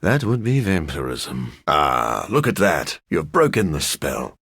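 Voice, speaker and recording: An actor voicing a brooding, sardonic vampire scientist for a video game, dry, erudite and theatrical, with a gravelly, husky voice. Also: male voice, rumbling low, big pitch swings, unhurried, fairly bright tone, no room sound, exaggerated voice. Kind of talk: video game character voice lines.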